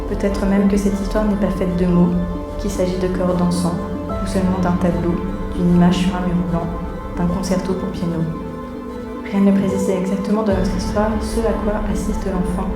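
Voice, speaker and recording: A woman, a theatre director, addressing an audience live from a theatre, in the manner of a welcome and read-aloud story. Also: mid-range voice. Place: theatre auditorium, before an audience. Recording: roomy echo, music underneath.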